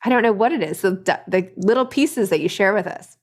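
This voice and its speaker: Woman, interview host, chatty, informal, conversational, medium pitch, thinks aloud. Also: a little fast. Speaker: podcast host interviewing an author.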